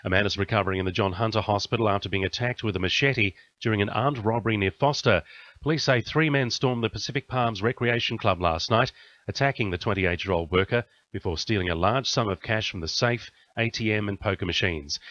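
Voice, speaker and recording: A man's voice: on the low side, a bit bright, dull audio. An Australian man reading a scripted radio news bulletin as the station's news reader.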